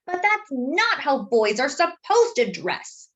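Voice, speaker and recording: Woman as a high, emphatic bossy big sister. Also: big pitch swings, slow, a bit bright, full character voice. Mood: indignant. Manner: emphatic, indignant. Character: bossy, protective older sister, young girl.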